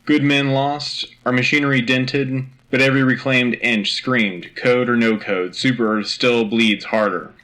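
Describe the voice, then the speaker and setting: A man playing an earnest, patriotic radio anchor reading military news in a crisp, urgent, official manner. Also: medium pitch, fairly even intonation, unhurried, few pauses, pushed and bright. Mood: grave, resolute. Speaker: radio host. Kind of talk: scripted military news bulletin.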